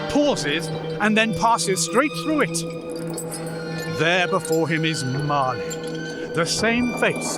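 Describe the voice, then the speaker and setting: An actor narrating a radio play as a measured, dramatic storyteller of a gloomy ghost tale. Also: male voice, high-pitched, heavily acted.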